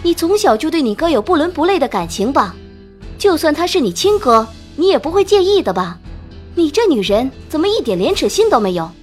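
A woman voicing a haughty, jealous young rich woman in an audiobook drama, sneering, sharp and accusing. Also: sits high, big pitch swings, moderate pace, pauses often, soft background music. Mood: scornful accusing.

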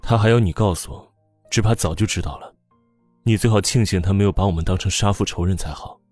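A cold, commanding family man for an audiobook drama, acted by a man. His voice sits very low with a husky edge, and he speaks curtly and severely, close and dry over faint music. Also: quick pace, a little mellow, no room sound. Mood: cold, suspicious. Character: stern, cold husband and father, suspicious and commanding. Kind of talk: audiobook character acting.

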